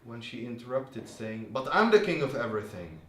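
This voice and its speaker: A man's voice: medium pitch, very animated, fairly quick, bright, forceful tone. A man speaking to an audience at a live literary reading in a bar, as reader and host. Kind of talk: reading prose fiction aloud to an audience. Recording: roomy, distant live recording with faint noise.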